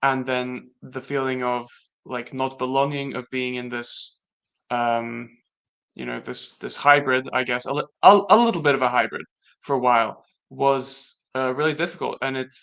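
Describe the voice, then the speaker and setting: Latvian man talking in halting reflection. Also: medium pitch, steady tone, vocal fry, fairly bright tone, clean tone. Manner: reflective, halting, with many restarts. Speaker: guest. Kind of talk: casual conversation. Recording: dull lo-fi sound.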